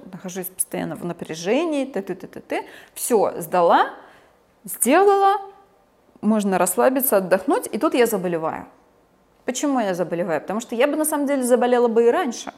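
A woman's voice: medium pitch, darkish tone. A woman giving a lecture and explaining ideas in a conversational way, played as a recorded excerpt.

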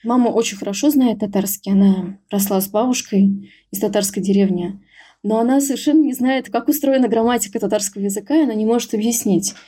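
A young woman with a soft, breathy voice and a mellow, warm tone. She talks candidly and chattily as a podcast guest, telling her story in an easygoing, amused way. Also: on the high side, quick pace. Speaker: podcast guest, Tatar language learner. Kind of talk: casual interview talk.

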